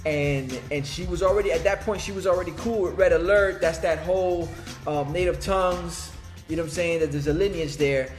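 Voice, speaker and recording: A man's voice: on the high side, a little fast, reverberant. A man chatting casually about hip hop films and music as a podcast host, laid-back and full of fillers.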